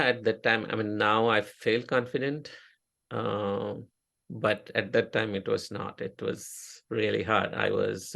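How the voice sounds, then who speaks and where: A man's voice: lowish voice, vocal fry, a bit bright. A middle-aged man from India with an Indian accent, a native Oriya speaker, telling his story in a casual interview with many fillers and restarts. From India (Odisha). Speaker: interview guest, software engineer.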